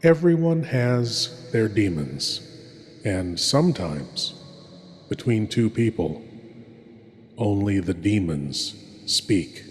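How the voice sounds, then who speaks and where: Man playing spooky suave horror host, unhurried, theatrical pauses, big echoey reverb. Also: fairly low pitch, very animated. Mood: brooding. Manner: measured, theatrical, portentous pauses. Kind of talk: scripted horror radio introduction. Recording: long cavernous reverb.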